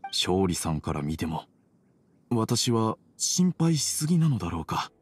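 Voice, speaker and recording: A man's voice: lowish voice, big pitch swings, measured pace, a little mellow, slightly rough, full character voice. An actor playing an anxious, polite middle-aged father in a video game, with a reserved, hesitant manner. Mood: worried. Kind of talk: video game dialogue acting.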